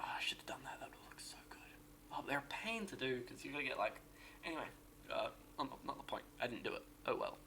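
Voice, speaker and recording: A man with a sore, raspy voice giving casual, rambling commentary on a game build and correcting himself as he goes. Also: mid-range voice, fairly bright tone, slight background noise. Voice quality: raspy, gravelly. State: sore, hoarse voice. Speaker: game streamer.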